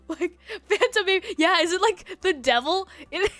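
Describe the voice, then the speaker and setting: A woman hosting a livestream, chatting casually and reacting to online stories in a giggly, animated way. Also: very high-pitched, unhurried, no room sound, soft background music. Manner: giggly, animated, breaking into laughter. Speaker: livestream host.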